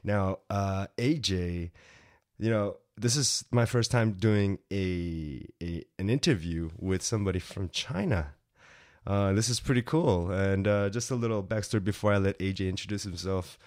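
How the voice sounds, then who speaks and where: A young man hosts a casual podcast interview with a low, mellow voice. He is upbeat and chatty and fills pauses with easy "uh"s and "you know"s. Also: no room sound, clean studio sound. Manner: upbeat, chatty, frequent fillers. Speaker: podcast host.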